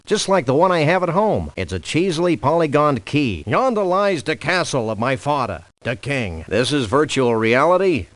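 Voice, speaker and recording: A man voicing a laid-back, wry cartoon dog detective in a comic adventure game, speaking in wordy deadpan. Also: fairly high pitch, moderate pace, hardly any pauses, dry recording. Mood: deadpan, dry.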